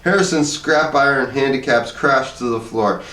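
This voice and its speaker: A man's voice: medium pitch, moderate pace, sharp tone. A man reading a short story aloud as scripted narration. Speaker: story reader. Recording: echoey room, distant microphone.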